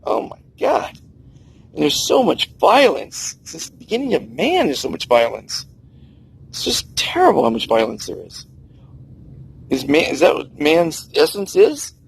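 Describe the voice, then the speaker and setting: A man's voice: on the high side, huge pitch swings, measured pace, gravelly, breathy edge, rough recording. A man in his sixties talking earnestly to camera outdoors by the sea, preaching kindness with emphatic swings in his voice. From United States. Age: 60s. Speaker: kindness vlogger. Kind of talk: outdoor personal monologue.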